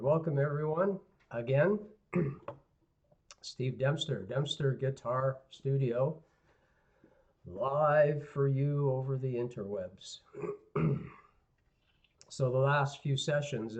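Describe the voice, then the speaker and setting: Hoarse raspy-voiced guitar teacher, man. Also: mid-range voice. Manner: relaxed, rambling, friendly asides to viewers. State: hoarse, clearing throat often. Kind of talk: live online lesson, casual talk. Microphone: computer mic on a live stream. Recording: dull lo-fi livestream audio.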